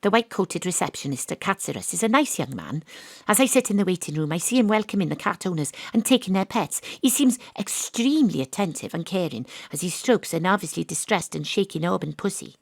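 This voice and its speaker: A world-weary female detective in an audio drama. Her voice sits a little low and is dryly recorded. She narrates with wry, deadpan noir delivery, like someone who has seen it all. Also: brisk, gravelly, no echo. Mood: deadpan, observant. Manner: deadpan, dry. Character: female private detective, world-weary, wry, hard-boiled ex-rugby star.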